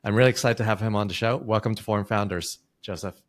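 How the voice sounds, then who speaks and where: A man's voice: fairly low pitch, fairly even intonation, quick pace. A man hosting a podcast, reading a scripted intro and then asking loose, friendly questions with fillers. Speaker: podcast host.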